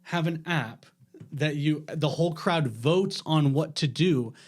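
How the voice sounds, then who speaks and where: A man's voice: fairly high pitch, slow pace. A man co-hosting a podcast in casual, slangy banter with friends. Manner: informal, says dude and bro often. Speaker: podcast co-host.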